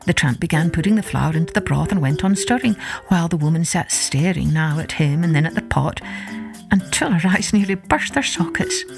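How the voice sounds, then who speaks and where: A woman narrating a children's folktale as an expressive, scripted storyteller. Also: sits low, brisk, vocal fry. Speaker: storyteller, podcast host. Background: music.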